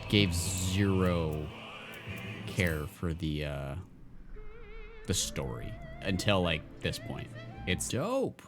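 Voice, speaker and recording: A man's voice: deep voice, huge pitch swings, extremely slow, pauses often, music in the background. A man casually commenting while he streams a video game, rambling with many stammers and fillers.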